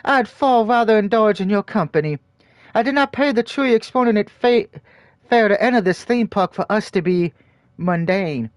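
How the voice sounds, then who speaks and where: A man's voice: sits high, lively intonation, normal speed, dry recording, studio quality. A man voicing a prim, formal female succubus queen from a visual novel, with stiff, old-fashioned phrasing. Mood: haughty.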